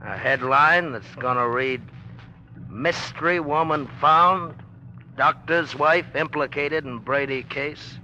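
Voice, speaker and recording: A man's voice: fairly high pitch, takes it slowly, sharp tone, full character voice. A man playing a cocky, needling, tough-talking newspaperman in a 1940s radio drama, slangy and smug. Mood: hard, dismissive. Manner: slangy, smug, hard-boiled. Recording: dull noisy old radio recording.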